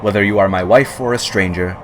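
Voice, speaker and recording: A man reading a first-person scary story aloud in a measured, wry, unhurried way. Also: fairly low pitch, noisy background. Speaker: horror story reader. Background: background music.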